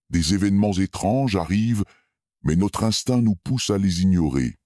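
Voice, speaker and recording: Deep-voiced man telling a scary story slowly. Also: darkish tone, no room sound, clean studio sound. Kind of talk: scripted first-person scary story narration.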